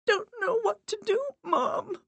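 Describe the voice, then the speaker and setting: A woman's voice: extremely high pitch, takes it slowly, gravelly, no echo, pitched above the performer's own voice, full character voice. A woman voicing a distraught young female college student who is crying. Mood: pleading. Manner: tearful, pleading.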